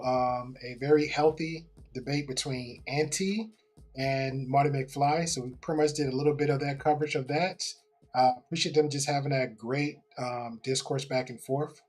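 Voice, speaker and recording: A man hosting a livestream podcast, commenting in an emphatic, coaching way and greeting viewers in the chat. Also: mid-range voice. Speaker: podcast host.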